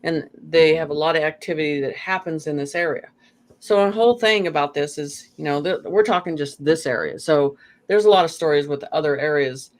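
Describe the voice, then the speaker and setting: A woman's voice: deep voice, a little fast. Woman telling stories in rambling conversation. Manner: rambling, peppered with "you know". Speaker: interview guest. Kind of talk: casual conversational storytelling.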